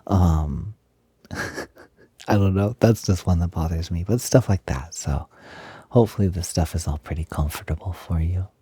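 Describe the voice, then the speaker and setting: A man playing an affectionate, smitten young roommate in an intimate roleplay audio, talking in a soft, breathy, gently hesitant and playful way. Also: deep voice, moderate pace, no echo. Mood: relaxed chatty. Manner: gentle, hesitant, giggly, softly doting. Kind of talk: intimate roleplay audio, casual improvised-sounding chat.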